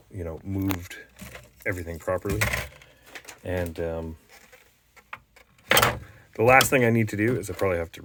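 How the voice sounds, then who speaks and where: A man's voice: deep voice, background noise. A man from Canada giving a rambling, casual show-and-tell about restoring an old tape machine, stopping often with fillers and restarts. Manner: rambling, halting, lots of fillers.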